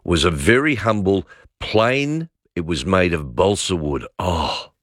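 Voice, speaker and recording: A man's voice: low voice, expressive, a little slow, a bit bright, no room sound, studio quality. A man with an Australian accent hosting late-night talkback radio, chatting and reading listener texts aloud. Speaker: radio host.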